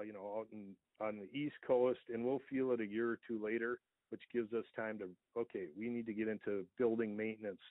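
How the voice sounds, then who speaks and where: An American man, a small business owner, earnestly explaining things at length in a conversational interview over the telephone. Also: fairly low pitch. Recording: thin, echoey phone line.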